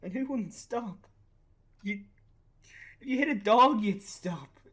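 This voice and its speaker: An actor with an Irish accent playing a grieving, bitter young father in a naturalistic radio play, halting and sweary. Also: sits high, animated, a bit bright, exaggerated voice. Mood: bitter anger. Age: young adult.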